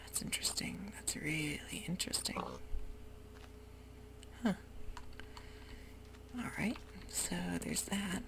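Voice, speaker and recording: A woman whispering softly through a relaxed, rambling ASMR game commentary. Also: fairly low pitch, slow, pauses often. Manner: soft whisper, rambling and easygoing. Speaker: YouTuber playing a game. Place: home room with sprinklers outside.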